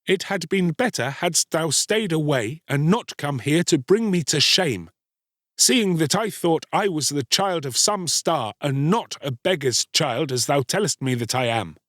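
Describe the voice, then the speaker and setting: Storybook role: proud young boy, archaic speech, raised and swooping pitch , played by a male narrator. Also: sing-song, normal speed, dry recording, studio quality. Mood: haughty, scornful. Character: proud, scornful young boy, cruel then remorseful.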